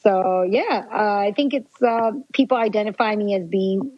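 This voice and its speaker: A woman's voice: mid-range voice, normal speed, no room sound, highs cut off. Sri Lankan-accented woman, dry and chatty. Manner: chatty, laughs often, peppered with "like". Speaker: guest. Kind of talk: casual interview.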